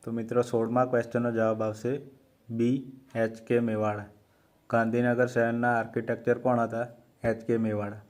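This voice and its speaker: A man with a Gujarati accent hosting an exam-prep quiz, reading out questions and options slowly and carefully. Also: medium pitch, level tone, a little mellow. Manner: slow, measured reading of options. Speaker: quiz host for exam preparation.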